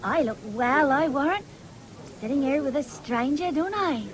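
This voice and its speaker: High-voiced thrifty young countrywoman. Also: animated, normal speed. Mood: coy, playful. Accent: rural English. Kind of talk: 1970s radio drama acting. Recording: noisy old radio broadcast, fairground din.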